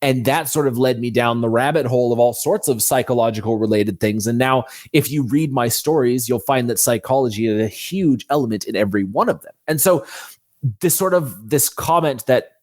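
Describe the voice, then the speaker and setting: A man's voice: medium pitch, a little fast, a little mellow. A man speaking as an interview guest, telling his own story casually and candidly, acting out quoted thoughts as he explains. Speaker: guest author.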